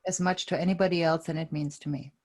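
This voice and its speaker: Woman, tapping practitioner, slightly low voice, short phrases to repeat, calls out tapping points. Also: steady tone, fast. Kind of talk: guided tapping session.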